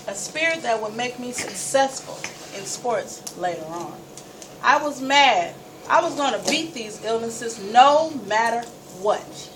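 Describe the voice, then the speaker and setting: A woman storyteller reading a first-person story aloud with dramatic, emphatic delivery and deliberate pauses. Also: a little high, a bit bright. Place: live event space, recorded from a distance. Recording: distant mic, noisy room ambience.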